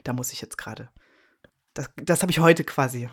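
A woman's voice: very deep voice, normal speed, husky. A woman speaking casually as an interview guest, chatting about her work as a director. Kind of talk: casual chat.

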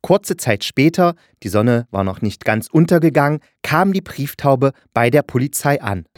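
A man's voice: medium pitch, lively intonation, normal speed, no echo. Man narrating a children's fairy tale. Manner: patient, explanatory.

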